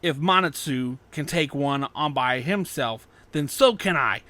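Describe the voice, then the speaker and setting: A brash young wild warrior, acted by a male narrator, loud and boastful. The voice is raised and cocky, in a dry audiobook recording. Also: a little high, measured pace, dry recording. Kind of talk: fan fiction audiobook character voice.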